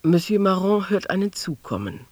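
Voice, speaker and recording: A man narrating a literary radio drama in a deliberate, observational manner. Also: high voice, big pitch swings, dry recording.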